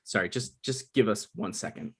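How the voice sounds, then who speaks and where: A man's voice: lowish voice, normal speed, husky, voice pushed down. A man reading the part of an awkward, evasive man in his mid-thirties bluffing through a date in a comedy table read. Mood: flustered.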